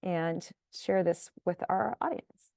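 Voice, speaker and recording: Woman, seminar moderator, brisk, polite, dry close sound. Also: lowish voice, creaky, a little mellow, no room sound. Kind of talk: online seminar hosting.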